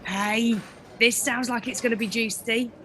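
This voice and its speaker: A woman's voice: a little high, fairly even intonation, a little slow, a bit bright, a little hiss or hum. A woman playing a nosy, teasing kitchen worker in an audio drama, inquisitive and playful. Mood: eager, nosy.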